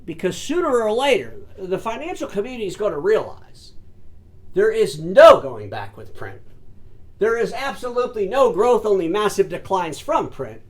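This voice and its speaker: Emphatic high-voiced man talking shop. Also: big pitch swings, normal speed. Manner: emphatic, addresses audience as folks and ladies and gentlemen; repeats words and restarts often. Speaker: host of an industry commentary show. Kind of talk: solo commentary to camera.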